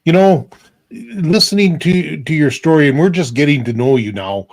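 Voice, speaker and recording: Man, middle-aged, host, rambling, repeats himself, fairly high voice, dry close sound. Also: lively intonation, moderate pace, gravelly, darkish tone, no echo. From Canada. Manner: rambling, repeats words and restarts. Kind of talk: casual group video chat.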